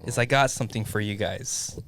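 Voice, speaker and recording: A man's voice: fairly low pitch, brisk, a bit bright, slight background noise. A man hosting a casual podcast with friends, laughing and swearing, getting emotional. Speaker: podcast host. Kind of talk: casual chat among friends.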